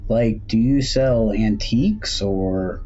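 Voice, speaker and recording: A man's voice: lowish voice, slow pace, dark tone. A man voicing a curious schoolboy in a suspense storybook, speaking in a hesitant, casual, questioning way. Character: curious, hesitant schoolboy exploring a strange shop. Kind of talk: storybook character voice in suspense tale. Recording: dull recording with faint background noise.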